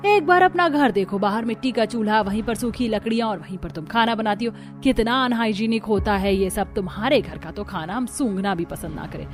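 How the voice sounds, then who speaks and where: A woman performing characters for an animated family story, her voice at a middle pitch, delivering lines with dramatic, scolding force. Soft background music runs under her. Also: a little fast, hardly any pauses. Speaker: voice actor for several female characters. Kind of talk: Hindi cartoon dubbing.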